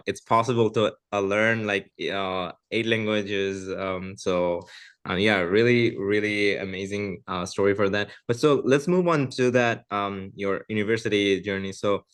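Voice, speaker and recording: Young man, podcast host, low-ish voice, hesitant with many fillers, casual interview. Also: fairly bright tone, smooth.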